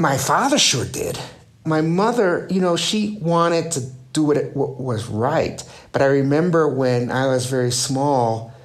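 A man, an author, answering interview questions thoughtfully, with frequent "you know" and "right?" and halting restarts. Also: mid-range voice. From United States. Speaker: author guest.

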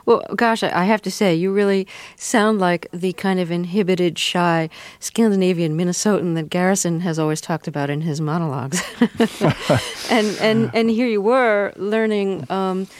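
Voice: medium pitch, normal speed. A radio host asking thoughtful, probing questions in an interview, with small restarts.

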